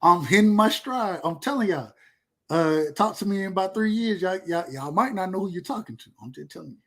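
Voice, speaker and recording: A man's voice: high-pitched, lively intonation, brisk. A man in his thirties from rural Georgia with a Southern accent, chatting casually and emphatically in slangy, profane, repetitive phrases. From rural Georgia, USA. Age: 30s. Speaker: podcast speaker. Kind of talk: casual chat.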